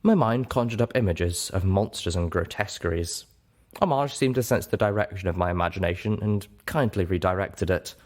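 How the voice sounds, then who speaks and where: A man's voice: sits low, normal speed, darkish tone. A man reading a first-person story aloud as its narrator. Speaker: story reader.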